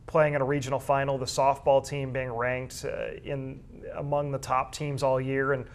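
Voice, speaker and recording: A man talks through high school sports as a commentator would in a relaxed discussion. His voice sits at an average pitch. He unfolds long, measured explanations with small hesitations along the way.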